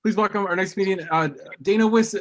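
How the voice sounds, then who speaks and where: A woman's voice: mid-range voice, fast, slight background noise. A woman hosting an online comedy open mic, joking loosely and stumbling over names, heard on a video call. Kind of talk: casual emceeing.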